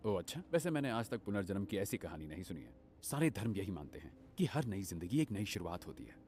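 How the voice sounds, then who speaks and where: A man's voice: low voice, big pitch swings, fast, a little mellow. Man voicing a wry, skeptical young man. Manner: sarcastic, wry. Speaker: dubbing actor. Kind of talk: Hindi fan dub of an action film.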